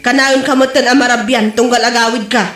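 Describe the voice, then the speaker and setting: Woman acting high-voiced Ilocano scolding mother, roomy. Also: steady tone, a little fast, over loud music, lightly acted. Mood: concerned, chiding. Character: worried, scolding mother, exasperated wife. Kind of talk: comedy-drama radio acting in Ilocano. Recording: roomy, echoey voice recording.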